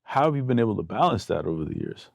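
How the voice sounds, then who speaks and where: Man, podcast host, fast casual talker, gravelly, dry sound. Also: on the low side, sing-song, darkish tone, no echo. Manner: quick, casual, swears freely. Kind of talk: casual interview chat.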